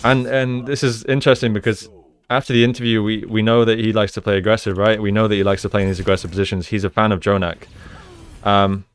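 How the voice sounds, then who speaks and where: A man's voice: on the low side, flat delivery, quick pace, clean tone. A man giving conversational, explanatory commentary on video game play, as a coach breaking down each move. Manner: explanatory, conversational, often checks with "right?". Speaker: gaming coach, analyst.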